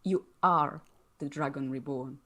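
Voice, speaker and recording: A woman playing a solemn, grave figure of mystic power in a dramatic screenplay table read, speaking deliberately. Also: extremely low pitch, a bit bright, heavily acted. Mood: grave, certain.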